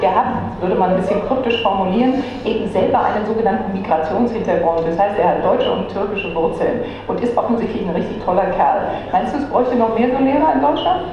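Quick TV interviewer, echoey playback. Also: medium pitch, muffled recording, a crowd in the background. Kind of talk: broadcast interview. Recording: film clip in an echoey hall.

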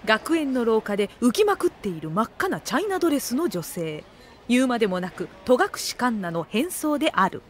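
A woman playing the dry, wry narrator of an anime comedy audio drama, reading scripted narration in a measured deadpan. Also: on the high side, a little hiss or hum.

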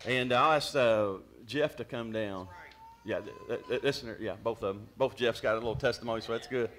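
A man's voice: mid-range voice, a little fast, a bit bright. A man, a pastor exhorting his congregation with fervent restarts, on a handheld mic in a small church. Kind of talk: church service testimony lead-in. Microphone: handheld mic, far camera.

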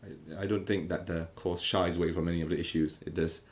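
A man in his thirties speaking quickly and haltingly on a living room sofa. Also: deep voice, fairly even intonation. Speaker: married couple sharing a testimonial. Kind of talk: casual testimonial.

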